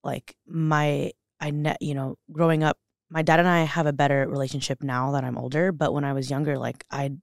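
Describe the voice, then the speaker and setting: A woman's voice: low-pitched, flat delivery, fairly quick, gravelly, no echo, studio quality. A young adult woman, a podcast guest, speaking earnestly and emotionally, with many fillers and restarts. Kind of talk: candid interview conversation.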